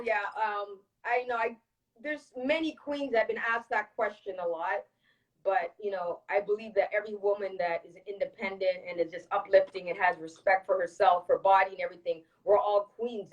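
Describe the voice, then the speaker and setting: A woman's voice: mid-range voice, fairly even intonation, moderate pace, dull audio. A woman from Toronto chats casually as a guest in a live interview. She is candid and emphatic, often repeating a phrase to drive it home, with a mid-range voice. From Toronto, Canada. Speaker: reggae dancehall singer, interview guest.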